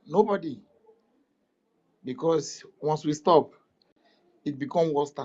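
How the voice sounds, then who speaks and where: A man's voice: on the high side, slow pace, dark tone, muffled recording. A Nigerian man giving an impassioned speech in an online audio room, emphatic and repetitive, firing rhetorical questions.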